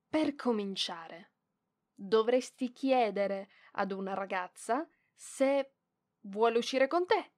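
Woman acting a flustered, slow-talking proud young librarian. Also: a little high. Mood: bossy, prim. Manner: hesitant stammering, flustered scolding, giggly. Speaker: voice actress in audio roleplay. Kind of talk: ASMR roleplay acting.

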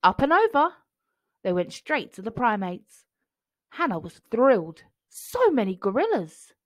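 A woman narrating a children's picture book expressively, phrasing clearly for young listeners. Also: mid-range voice, big pitch swings, unhurried, dry recording.

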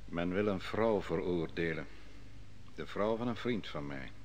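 An actor playing the prophet Daniel in an old radio drama, telling his story in a measured, solemn way. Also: low voice, animated, slight background noise. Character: prophet Daniel, a calm, grave advisor. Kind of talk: 1950s-60s radio drama acting.

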